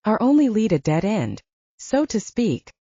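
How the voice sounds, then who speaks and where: A woman voicing a brooding, gruff male alpha werewolf who speaks tersely. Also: mid-range voice, sing-song, unhurried, warm tone, no room sound, muffled recording, pitched above the performer's own voice, heavily acted. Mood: bleak.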